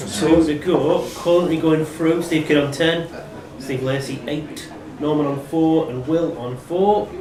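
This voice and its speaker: A man's voice: on the high side, fairly even intonation, measured pace. A man acting as quiz scorer, calling out players' names and scores. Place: pub room during a live quiz. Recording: echoey pub, distant mic, chatter behind.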